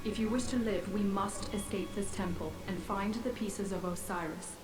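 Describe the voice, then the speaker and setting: A regal, ancient goddess voiced by a woman speaks in a medium-pitched voice over loud music. She is a solemn video game guide, formal and measured in every phrase. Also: close to an everyday voice. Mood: solemn warning. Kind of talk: video game character acting.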